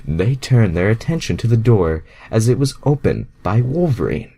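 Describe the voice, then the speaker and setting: A man's voice: on the low side, sing-song, normal speed, gravelly, warm tone, slightly rough. A man reading fan fiction aloud in an amateur, mocking way, crude and self-deprecating, breaking off to comment.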